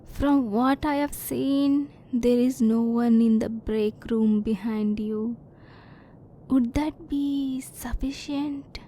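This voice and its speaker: Woman acting as reserved female alien, curious, ASMR roleplay, very slow delivery, soft and stilted, faint rumbling background noise. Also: steady tone. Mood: attentive, unsure. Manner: formal, stilted, clinical phrasing; soft-spoken, sometimes near whisper.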